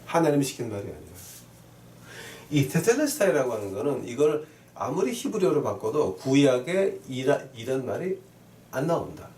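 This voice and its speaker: Echoey, mellow male pastor preaching. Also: mid-range voice, big pitch swings, moderate pace. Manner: emphatic, repeats key phrases, questions the listeners. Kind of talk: sermon with scripture readings and rhetorical questions. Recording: roomy echo, distant mic, faint noise.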